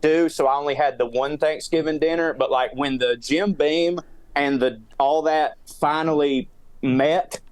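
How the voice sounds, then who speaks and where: A man's voice: on the high side, a little slow, clear, resonant tone, no room sound. A man with a Southern US accent chatting casually as a podcast co-host, telling rambling, profane stories in a self-justifying way. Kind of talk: casual comedic chat.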